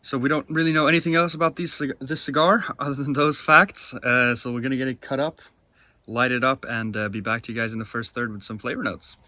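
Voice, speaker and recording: A man's voice: medium pitch, fairly even intonation, brisk, sharp tone, no room sound. A young man co-hosting a cigar review show, chatting casually and talking through flavor notes with enthusiasm.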